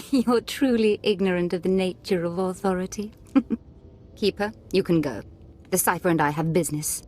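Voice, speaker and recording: An actress playing a sadistic dark lord in a game, haughty, mocking and deliberate, with playful menace. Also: female voice, fairly low pitch, lightly acted. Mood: amused, haughty.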